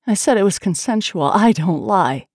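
Woman acting an anguished, breathy, defiant female lover. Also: mid-range voice, expressive, drawn-out words, dark tone, dry recording, clean studio sound, exaggerated voice. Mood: accusing. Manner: emotional, accusing, pleading. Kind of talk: audiobook character dialogue.

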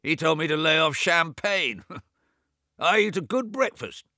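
Brief: a man doing a proud, sickly old gentleman near eighty, grumbling high and loud, dry close sound. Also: expressive, measured pace, no room sound, full character voice. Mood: querulous. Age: 80s. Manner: querulous, complaining, boastful. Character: gruff ailing elderly rich man, proud.

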